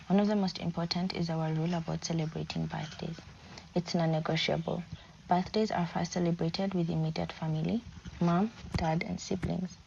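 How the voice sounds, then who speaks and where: A woman in her twenties with a Kenyan accent, reading a prepared personal presentation in a steady way. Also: fairly low pitch, vocal fry. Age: 20s. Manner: steady, read-out delivery. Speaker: student. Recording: dull lo-fi recording with faint background noise.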